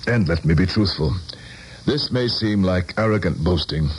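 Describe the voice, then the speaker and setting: An actor playing a cynical, condescending middle-aged politician in a radio drama, speaking formal, measured American English. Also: male voice, deep voice, expressive. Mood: measured, reflective. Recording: noisy old radio broadcast.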